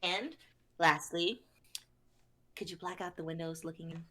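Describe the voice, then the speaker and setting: A woman playing a coy, dreamy lady who makes her wishes in a hesitant, drawn-out way for a comedy reading. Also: lowish voice, fairly even intonation, heavy background noise, close to an everyday voice. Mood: coy, suggestive.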